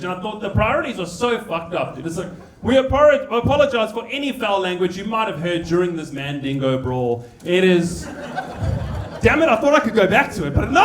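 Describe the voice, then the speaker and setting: A man's voice: high-pitched, a bit bright. A man with a South African accent performing stand-up comedy on stage. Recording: echoey live comedy club, audience noise. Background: live audience.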